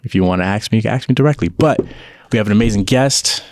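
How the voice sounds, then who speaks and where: A man's voice: on the low side, quick pace, darkish tone, no room sound, clean studio sound. Laid-back New man hosting a podcast. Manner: laid-back, loose, streetwise slang. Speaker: podcast host. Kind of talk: casual interview chat. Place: indoor room.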